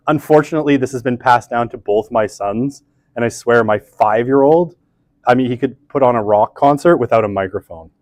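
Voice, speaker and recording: A man's voice: medium pitch, darkish tone, no room sound. A man in his thirties giving a casual university lecture in an old lecture room, talking into a podium microphone that barely picks him up, chatty and self-deprecating, with many fillers. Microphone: podium microphone, weak pickup.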